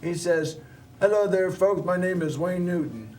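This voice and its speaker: A man's voice: high-pitched, moderate pace, a little mellow, distant mic, slight background noise. A man hosting a small local TV show, telling a personal story in a rambling, casual way with many restarts. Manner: rambling, with frequent restarts and laughs.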